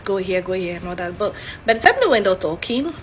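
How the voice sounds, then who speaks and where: A woman's voice: mid-range voice, lively intonation, fast. A woman with a Nigerian accent telling a personal story as a complaint, acting out the dialogue she quotes. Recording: clear ambient background noise.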